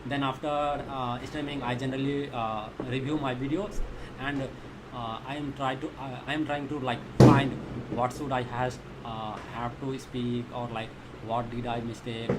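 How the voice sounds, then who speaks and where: An Indian-accented man whose first language is Thethi talks casually as a live coding streamer. He speaks haltingly, circling back over phrases as he looks for the next word. His voice sits at a medium pitch, with clear noise in the recording. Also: level tone. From Magadha region of India. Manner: halting, repetitive, searching for English words. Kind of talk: casual livestream chat.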